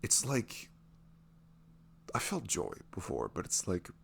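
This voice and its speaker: A man acts out a smitten young boyfriend in an intimate ASMR monologue. His voice is very low with a heavy fry at the edges. He muses in halting, vulnerable phrases that often soften to near-whispers. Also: wildly swooping pitch, slight background noise, full character voice. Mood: tender, reflective. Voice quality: low, creaky voice, often dropping toward a whisper. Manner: halting, musing, frequent "you know" and soft chuckles. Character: smitten, vulnerable young neurodivergent boyfriend meeting online love. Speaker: ASMR audio roleplay performer.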